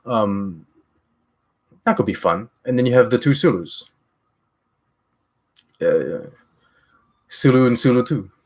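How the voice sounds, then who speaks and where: A young man chatting casually on a live stream from a small room, rambling loosely with animated gestures, through a headset mic. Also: mid-range voice, slow pace, long pauses. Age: young adult.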